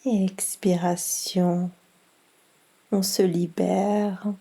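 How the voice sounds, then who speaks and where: A woman leads a guided relaxation in a soft, mellow voice. Her delivery is very slow and soothing, with breathiness throughout. She gives calm instructions as a meditation teacher would. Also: lowish voice, creaky, breathy edge. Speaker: yoga nidra instructor and guide.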